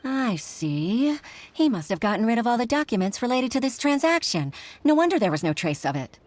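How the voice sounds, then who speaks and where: An actress playing an earnest, flustered young junior clerk in a video game, hesitant and deferential in manner. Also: female voice, a little high, very animated, brisk, darkish tone, slight background noise. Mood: surprised, eager.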